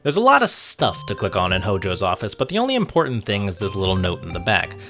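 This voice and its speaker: A man's voice: lowish voice, a bit bright. A man narrating a scripted video essay about games in a wry, conversational way, with asides to the viewer. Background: music.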